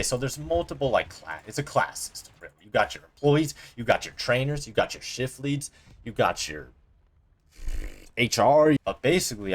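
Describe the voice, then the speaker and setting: A young man from Colorado telling a casual, profane story to camera as a vlogger, heavy on slang fillers, recorded at home on a cheap desk mic. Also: fairly low pitch. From Colorado, USA. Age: young adult. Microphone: cheap desk mic resting on a coin jar.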